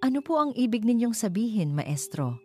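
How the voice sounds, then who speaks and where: A woman's voice: on the high side, very animated, normal speed, a little mellow, slightly rough, pitched above the performer's own voice. A woman voicing a troubled, anxious villager seeking the truth in a dramatic story. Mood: shocked, anxious. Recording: music behind the voice.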